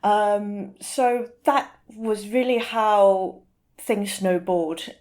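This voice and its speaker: A woman speaking as an interview guest, explaining things informally and sometimes pausing to search for the right word. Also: mid-range voice, fairly even intonation, darkish tone.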